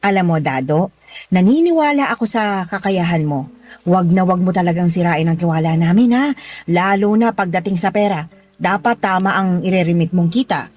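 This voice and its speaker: An actress playing a kindly married boss in a Filipino radio drama, giving firm, nurturing advice in a Filipino accent. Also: female voice, lowish voice, moderate pace, darkish tone, natural voice. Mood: earnest, firm. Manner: advising, nurturing, firm reminders. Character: kindly, motherly married female boss teaching street-food vending. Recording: music playing behind the voice.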